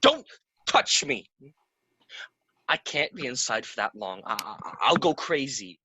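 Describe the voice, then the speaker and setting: A man's voice: mid-range voice, wildly swooping pitch, measured pace, bright, forceful tone, slightly rough, heavily acted, rough recording. A man acting a restless, defiant theatre player in an audio play, agitated, bitter and argumentative. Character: restless, defiant, hot-tempered young male theatre player.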